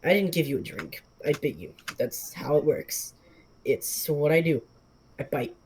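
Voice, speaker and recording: A man voicing a calm, wise talking snake. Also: on the high side, a little hiss or hum, low-quality recording. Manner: slow, measured, matter-of-fact.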